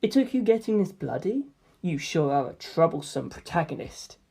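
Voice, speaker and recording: A woman gives voice to a calm teenage boy, a cryptic and gentle friend in a novel reading. The speech is quiet, breathy and measured, with a knowing manner. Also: sits low, full character voice. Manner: soft-spoken, measured, knowing.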